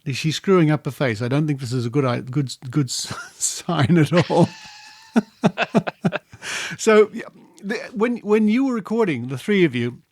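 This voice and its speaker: A man's voice: a little high, animated, moderate pace, darkish tone, dry recording. A middle-aged or older Australian man hosting a casual podcast interview, chatty and genial, playfully repeating phrases. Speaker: podcast host interviewing guests.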